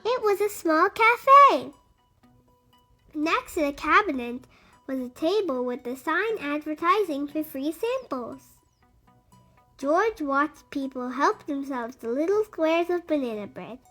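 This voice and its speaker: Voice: high-pitched, expressive, takes it slowly, sharp tone, soft background music. A storybook reader narrating a picture book expressively for young children.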